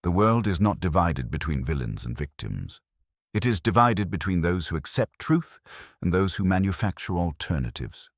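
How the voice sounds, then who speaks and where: A man's voice: low-pitched, lively intonation, moderate pace, dry recording, clean studio sound. A man voicing a stern, pedantic, imperious detective with formal, precise phrasing, in an audiobook.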